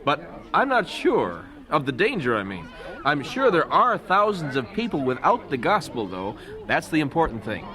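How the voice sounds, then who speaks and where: A man playing a devout, resolute young missionary husband in a radio drama, earnest and gentle. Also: on the high side, wildly swooping pitch, normal speed, exaggerated voice. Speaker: voice actor in an audio drama. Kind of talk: radio drama dialogue acting.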